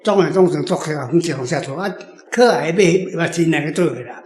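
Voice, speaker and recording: Taiwanese male guest author, high voice, roomy sound, casual and anecdotal explaining. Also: fast talker. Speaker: author and guest answering questions.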